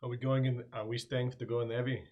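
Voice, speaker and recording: A man's voice: mid-range voice, level tone, fast. Casual male gamer, dull recording. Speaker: tabletop game player. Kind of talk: casual chat.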